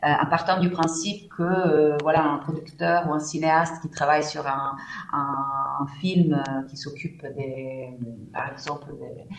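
A woman's voice: low voice, fairly even intonation, normal speed, a little hiss or hum. Hesitant Italian woman in an echoey room. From Italy. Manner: hesitant, many fillers and restarts. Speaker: festival partnerships manager, guest. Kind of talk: radio interview.

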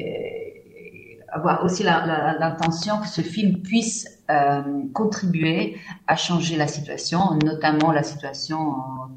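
A middle-aged woman from Italy, whose first language is Italian, speaks low and hesitantly as a radio interview guest. She stops often for fillers and repeats words as she restarts. The room echoes around her, and a faint hiss sits under it. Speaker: festival partnerships manager, guest.

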